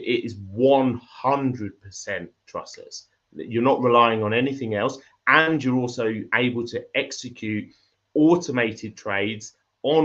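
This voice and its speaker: Croaky, halting man on a call. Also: lowish voice, muffled recording. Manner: halting, repeats words and restarts often. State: croaky voice from illness. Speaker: crypto project founder. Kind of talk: livestream interview.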